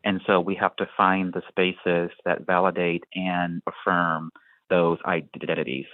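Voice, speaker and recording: Deep man on a tinny line. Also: flat delivery, a little slow, no room sound. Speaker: guest, speech-language pathologist and researcher. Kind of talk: interview answer. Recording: tinny phone-like remote line, dry.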